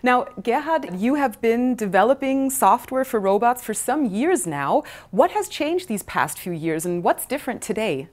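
A woman's voice: fairly high pitch, very animated, moderate pace, hardly any pauses. A woman in her thirties presenting in a polished, upbeat way, speaking to viewers and interviewing staff in an office. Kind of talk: scripted corporate presenting. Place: bright modern office. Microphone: lapel mic.

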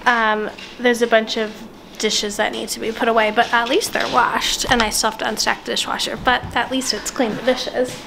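A woman's voice: on the high side, level tone, normal speed, gravelly, background noise. A woman in her thirties chatting casually and informally in a home vlog, with a self-deprecating, conversational manner. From South African family background. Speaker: vlogger. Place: inside a house, open dining room and kitchen.

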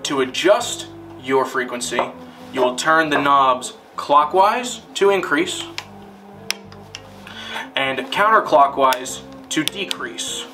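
A man narrating a scripted instructional film in a deliberate, formal, step-by-step manner. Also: medium pitch, sharp tone, distant mic, soft background music.

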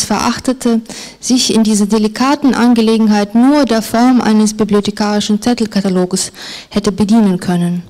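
A woman's voice: fairly high pitch, darkish tone, low-quality recording. Hesitant woman author on a stage mic. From former Soviet Union. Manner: hesitant, with many fillers and restarts. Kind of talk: stage interview and reading aloud. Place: literary event on a stage, indoors. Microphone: handheld mic.

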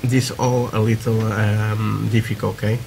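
A man from Portugal with a Portuguese accent, chatting haltingly through a hobby tutorial with many fillers, while building at a workbench. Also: lowish voice, level tone, creaky, a little mellow, slight background noise, low-quality recording. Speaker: scale model builder. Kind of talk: casual hobby tutorial talk. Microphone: camera over work desk, hands only.

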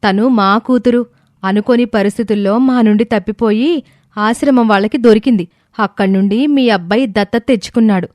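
Woman acting young mother from a story, raised pitch, insistent, earnest, pleading. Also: brisk, darkish tone, no echo, studio quality, close to an everyday voice. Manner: emphatic, insisting.

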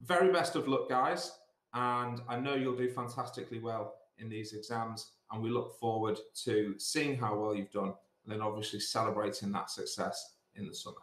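A man's voice: fairly low pitch, fairly even intonation, moderate pace, fairly bright tone. British man advising students, roomy sound. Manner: instructive, repeats points for emphasis. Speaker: school sixth form director. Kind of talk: assembly talk giving exam revision advice. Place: small indoor office. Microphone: computer mic on a video call. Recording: roomy, echoey video call.

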